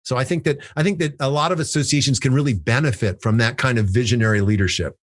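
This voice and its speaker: A man's voice: medium pitch, fast talker, no room sound, studio quality. A man co-hosting a casual podcast, talking through ideas in a thoughtful way and using made-up examples. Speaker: podcast co-host.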